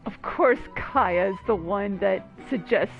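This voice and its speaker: A woman's voice: medium pitch, expressive, takes it slowly, vocal fry, narrow, tinny audio. A woman giving casual, playful and informal reactive commentary while playing a video game. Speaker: gamer commentating on a playthrough. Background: game music.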